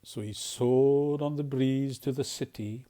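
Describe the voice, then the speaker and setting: Children's storyteller. Mellow, unhurried, expressive, playful questions and hushed moments. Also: mid-range voice. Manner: animated, dramatic, often drops to hushed tones. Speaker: volunteer storyteller. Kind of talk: expressive read-aloud of a children's picture book, with questions to the listener.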